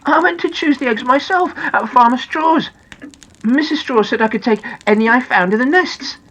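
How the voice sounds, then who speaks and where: A woman's voice: high voice, normal speed, fairly bright tone, highs cut off, voice pushed up. A woman voicing a small, naive, anxious boy in a children's story, with a plaintive, innocent manner. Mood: surprised, defensive.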